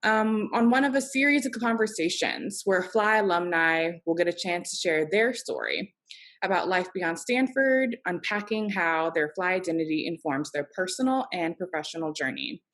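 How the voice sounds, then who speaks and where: A woman's voice: fairly low pitch, animated, moderate pace, a bit bright. A woman in her thirties hosting an interview over a video call, formal and upbeat as she introduces her guest. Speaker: host. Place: home office, video call. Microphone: video call computer mic.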